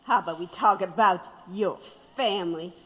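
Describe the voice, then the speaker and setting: A woman's voice: fairly low pitch, moderate pace, a bit bright, natural voice. A woman in an audio drama playing a fierce, defiant revolutionary mother, scornful and combative. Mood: cutting, threatening. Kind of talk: dramatic audio play acting. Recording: long hollow reverb.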